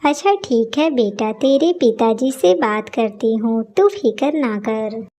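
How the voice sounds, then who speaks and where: A woman voicing a caring, calm middle-aged mother in a cartoon story, gentle and reassuring. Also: on the high side, slow pace.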